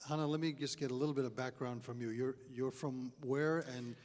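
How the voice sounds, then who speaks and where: A man hosting an informal on-stage interview, speaking in a somewhat halting way with repeated words. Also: mid-range voice, fairly even intonation, a little mellow. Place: on stage in a concert hall. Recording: reverberant concert hall.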